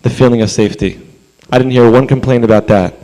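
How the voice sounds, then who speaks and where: Hoarse rabbi at a council podium. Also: male voice, mid-range voice, steady tone, fast talker, dark tone, rough recording. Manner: earnest, conversational, with fillers. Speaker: rabbi speaking at public comment. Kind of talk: public comment speech. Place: council chamber.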